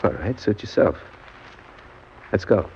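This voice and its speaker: A man's voice: lowish voice, very animated, unhurried. An actor playing a cynical condemned man narrating his downfall in slangy, world-weary American 1940s radio style. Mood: brusque, impatient. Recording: muffled old radio recording with music.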